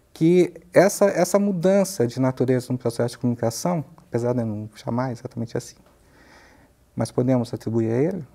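A man in his fifties with a Brazilian accent, a professor explaining ideas in a measured, halting way in a studio interview. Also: on the high side, dark tone. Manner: measured, halting, searching for words. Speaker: university professor, interview guest. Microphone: lapel mic.

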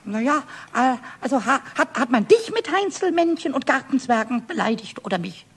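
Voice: high-pitched, big pitch swings, moderate pace, fairly bright tone, slightly rough, full character voice. An actor playing a cheeky, touchy little kobold who stammers defiantly in a children's radio play. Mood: indignant, stammering. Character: cheeky impish little male kobold, quick to take offence.

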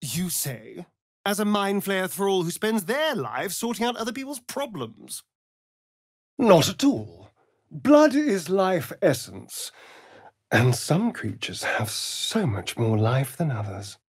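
Casting: flamboyant vampire rogue for a fantasy game. Arch and sardonic, breathy, unhurried drawl. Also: male voice, high voice, sing-song, no room sound, clean studio sound, full character voice. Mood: intrigued. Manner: theatrical, arch, drawling delivery. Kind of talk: video game voice acting.

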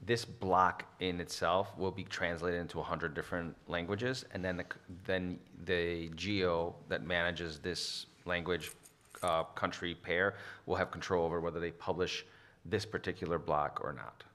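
A man's voice: deep voice, steady tone, moderate pace, fairly bright tone. A man presenting at a conference, answering audience questions with many restarts and fillers. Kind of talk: Q&A after a conference talk.

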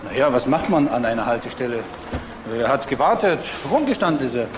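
An actor playing a plain-spoken, insistent bus driver in a German crime radio drama, talking colloquially. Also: male voice, mid-range voice, sing-song, brisk, background noise, close to an everyday voice.

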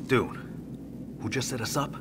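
A man's voice: mid-range voice, slow, noisy background. An actor playing a guarded, sceptical tough guy in his thirties in a video game, clipped and sarcastic. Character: terse, guarded, sceptical tough male protagonist. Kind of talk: naturalistic video game acting.

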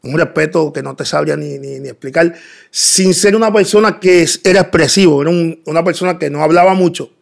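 A middle-aged man from the Dominican Republic raised in Rico, answering interview questions calmly with many pauses and fillers. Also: on the high side, vocal fry. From Dominican Republic, raised in Puerto Rico. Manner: calm, hesitant, many fillers. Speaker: interview guest. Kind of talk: casual interview. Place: pink studio set. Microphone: boom studio mic.

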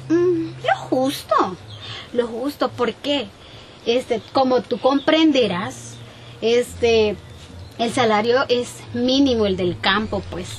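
A woman's voice: on the high side, lightly acted. A young Salvadoran woman playing a worried, indignant farm owner in an amateur sketch, emphatic and repeating herself as she explains. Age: young adult. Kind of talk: amateur improvised sketch acting. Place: outdoors in a rural yard. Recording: outdoor camera sound, faint ambient noise.

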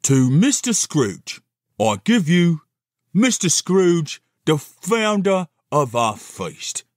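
Male actor as kindly poor family man in audio drama: mild, grateful, raised lilting pitch. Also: very animated, slow, dry recording, clean studio sound. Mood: festive, proud. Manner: warm, mild, placating.

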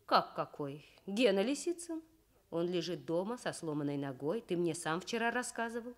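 A woman playing a patient, firm mother with measured, gently probing speech in a children's radio story. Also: fairly low pitch, very animated, voice pushed down. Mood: calm, firm.

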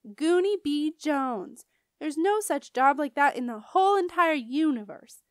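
A scornful young boy bully from a children's storybook, acted by a woman. The voice is high and raised, with an insistent, mocking edge made for teasing classmates. The sound is close and dry. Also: lively intonation, measured pace, no echo. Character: mean, taunting schoolboy bully. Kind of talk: children's audiobook character voice.

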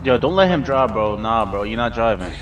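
A man's voice: mid-range voice, lively intonation, a little fast, lo-fi sound, crowd noise. A man speaking casually to teammates in an online game voice chat. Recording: game voice chat, loud gunfire noise.

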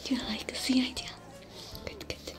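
A woman voicing a female alien smuggler with a French accent, whispering gently in an ASMR roleplay. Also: music in the background, natural voice. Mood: soothing. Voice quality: whispery, soft-spoken. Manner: slow, soothing, caring.

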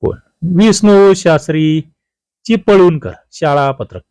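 A man's voice: fairly high pitch, measured pace, darkish tone, clear, resonant tone, no room sound. Man teaching, close dry sound. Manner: didactic, poses questions then answers them. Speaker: exam coaching teacher. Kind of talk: exam question walkthrough, explaining answers.

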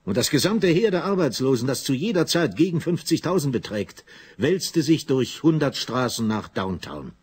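A man narrating a scripted German radio drama in a measured, literary storytelling manner. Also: mid-range voice, big pitch swings, darkish tone, breathy edge.

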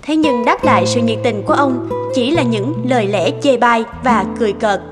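A woman's voice: on the high side, moderate pace, over loud music. A woman narrating a story from a script, as a storyteller.

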